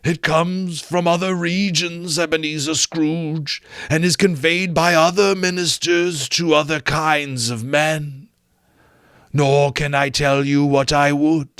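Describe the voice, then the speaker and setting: A man voicing the mournful ghost of an old chained businessman, wailing his lines slowly in a gravelly voice in a storybook reading. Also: on the high side, steady tone, fairly bright tone, no echo, studio quality, pitched above the performer's own voice. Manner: slow drawn-out wailing delivery.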